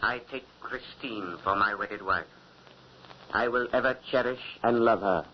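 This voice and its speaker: A man's voice: lowish voice, slight background noise, lightly acted. A man doing a cruel mocking spirit voice. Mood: solemn. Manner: slow solemn oath, then cackling taunts. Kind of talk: 1940s radio acting. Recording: dull old radio recording.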